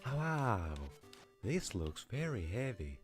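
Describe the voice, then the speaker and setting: A man with a Finnish accent doing an impression of a dramatic young male game hero, delivering lines slowly and overblown. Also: medium pitch, big pitch swings, faint music behind, voice pushed up. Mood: theatrical awe. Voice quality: slow, overblown line delivery.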